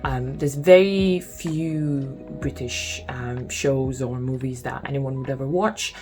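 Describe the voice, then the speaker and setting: A woman's voice: rumbling low, takes it slowly, dark tone, soft background music. A young woman from Lithuania with a Lithuanian accent, chatting casually to camera in a home vlog, with lots of ums and exasperated asides. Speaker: vlogger. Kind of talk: casual talking-head vlog.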